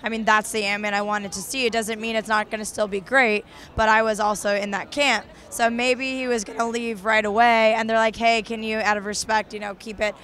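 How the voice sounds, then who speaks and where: A woman co-hosting a movie news chat, enthusiastic and fluent, her voice hoarse and fading. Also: on the high side, little pitch movement, brisk, few pauses, fairly bright tone. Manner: enthusiastic, fluent, many "you know" fillers. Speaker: co-host of a movie news show. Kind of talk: casual chat about movies. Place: outdoors at a busy convention.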